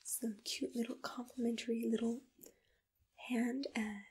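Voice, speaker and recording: A woman speaking in a breathy, mostly whispered voice, gently playing an attentive agency assistant in an ASMR roleplay, close to the microphone. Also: a little high, flat delivery, slight background noise. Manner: gentle, personal, unhurried customer-service address.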